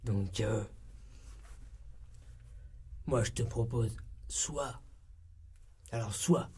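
A young man playing a cheeky, laid-back ex-con turned rookie barber in a comedy ASMR roleplay, soft-spoken and breathy, with slangy, hesitant talk. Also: mid-range voice, extremely slow, exaggerated voice. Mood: cheeky, salesy. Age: young adult. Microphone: close binaural microphone.

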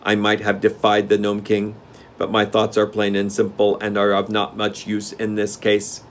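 A man's voice: lowish voice, completely flat delivery, moderate pace, a little hiss or hum, natural voice. Man doing a matter-of-fact clockwork man. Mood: resigned. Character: loyal, plain-thinking clockwork machine man.

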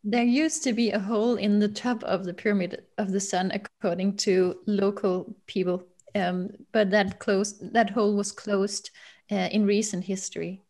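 A woman's voice: medium pitch, level tone, moderate pace, a little mellow. A woman in her thirties explaining as a panelist on a webinar video call. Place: indoor home or office room, on a video call.

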